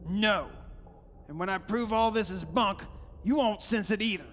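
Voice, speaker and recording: A man's voice: high-pitched, normal speed, a little hiss or hum, natural voice. A man playing a stubborn, scoffing father in a melodramatic radio horror play, theatrical and blustering. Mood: scornful. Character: stubborn, scoffing father who mocks an old legend. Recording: long hall reverb.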